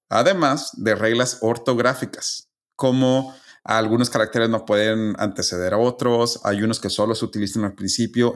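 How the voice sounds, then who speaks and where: Man, casual podcast host, methodical step-by-step explaining, middle pitch. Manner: methodical, explains facts step by step, restarts phrases.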